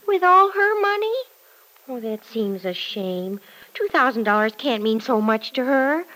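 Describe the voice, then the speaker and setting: A woman's voice: a little high, sing-song, a little slow, bright, forceful tone, heavily acted, low-quality recording. A woman in an old-time radio drama playing a naive, curious teenage girl with a gushing, wide-eyed manner. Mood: curious, indignant.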